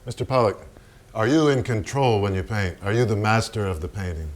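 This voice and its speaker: A man acting in a staged reading as a solemn narrator and interviewer, with formal, deliberate, pausing phrases. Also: lowish voice, lightly acted. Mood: polite, probing. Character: measured, solemn male narrator and polite interviewer. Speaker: stage actor.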